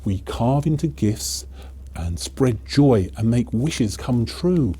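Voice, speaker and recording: A man's voice: medium pitch, lively intonation, takes it slowly, warm tone, breathy edge, heavily acted. A man voicing a toymaker's earnest, pleading wife in a children's storybook.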